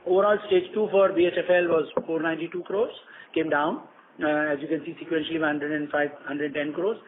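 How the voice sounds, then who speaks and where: A man with an Indian accent presenting company results on an earnings call, businesslike and halting, with frequent ums and repeated words. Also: fairly high pitch, fairly even intonation. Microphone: conference call phone. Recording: tinny phone conference line with noise.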